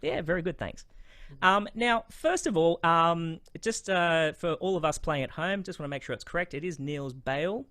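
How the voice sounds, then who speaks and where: Aussie host guy, slightly high voice, dry close sound, chatty with lots of ums. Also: moderate pace, bright, forceful tone, dry recording. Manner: friendly, upbeat, many fillers. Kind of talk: casual interview hosting.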